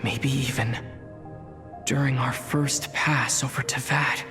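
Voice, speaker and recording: A calm, thoughtful young traveler. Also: male voice, medium pitch, faint music behind. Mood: reflective. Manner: quiet, measured and reflective. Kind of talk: video game cutscene dialogue.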